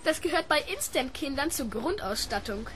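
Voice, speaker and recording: A woman's voice: sits high, expressive, normal speed. A woman playing a precocious, obedient seven-year-old boy in a radio play, earnest and formally polite. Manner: formal, well-mannered, earnest. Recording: noisy scene with background crowd.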